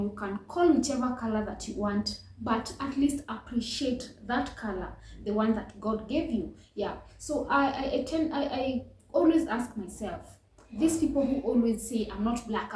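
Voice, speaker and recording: A woman's voice: on the high side, dark tone, reverberant, slight background noise, poor audio. A woman hosting a reaction channel, talking earnestly and reflectively with many fillers and restarts. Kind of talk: casual reaction commentary.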